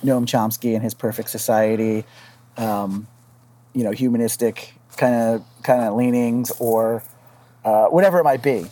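A man speaking as a podcast panelist, thinking aloud haltingly in casual discussion. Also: mid-range voice, fairly even intonation, warm tone.

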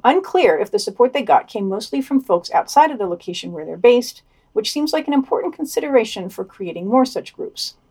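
A woman's voice: medium pitch, creaky, darkish tone, husky. A woman co-hosting a podcast, reading out a scripted news digest with commentary.

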